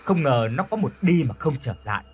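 A man voicing a kindly, dignified sixty-year-old village healer in an audio story, with an unhurried, fatherly manner. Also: fairly high pitch, sing-song, a little hiss or hum. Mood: sorrowful.

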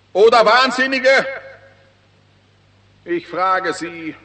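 Very high, pompous orating prime minister. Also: male voice, bright, forceful tone, exaggerated voice. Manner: declamatory, pompous, measured. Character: pompous, demagogic male prime minister giving funeral oration. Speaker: prime minister in a radio play. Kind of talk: solemn political oration, 1960s radio acting. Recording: long hall reverb of public address.

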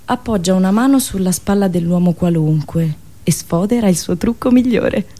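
A woman's voice: fairly low pitch, normal speed, warm tone. A woman with a central Italian accent telling a satirical story as a theatrical, ironic radio monologue. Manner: theatrical, ironic, with dialect asides.

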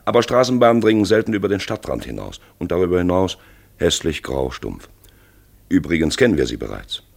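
A man playing a brooding, probing narrator in a 1950s radio play, with emphatic phrases and hushed asides. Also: low-pitched, big pitch swings, fairly quick, heavily acted. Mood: intense, reflective. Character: brooding male narrator-guide, probing and dramatic. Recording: husky-sounding 1950s radio recording.

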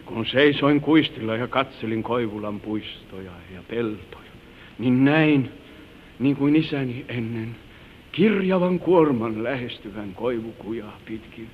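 An older man acting a gentle, wistful grandfather who tells his life story and moralizes to his granddaughter in an old-time radio drama. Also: mid-range voice, close to an everyday voice. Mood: reminiscing. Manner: tender, wistful, moralizing, slightly solemn. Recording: tinny, noisy old radio broadcast recording.

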